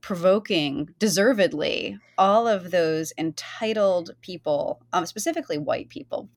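A woman co-hosting a podcast in casual chat, wry and articulate, quick to laugh. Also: fairly low pitch. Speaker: podcast co-host.

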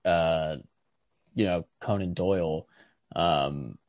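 A man's voice: low-pitched, slow pace. A man chatting casually as a podcast co-host, hesitant and often restarting his thoughts. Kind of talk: casual chat about literature. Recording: dull, muffled podcast audio.

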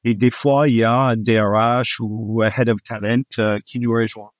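A middle-aged man speaking French with a foreign accent, not his first language. He answers an interviewer at an easy pace, filling pauses with "euh". The sound is dry and close, with no room around it. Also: mid-range voice, level tone, no echo. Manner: frequent hesitations with "euh", warm laughs. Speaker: guest, executive recruiter. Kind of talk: relaxed interview conversation.

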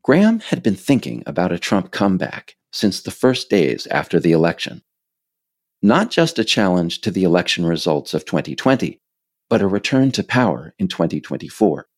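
Low-voiced American man narrating, lively intonation. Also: moderate pace. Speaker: author narrating own work. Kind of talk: scripted narration.

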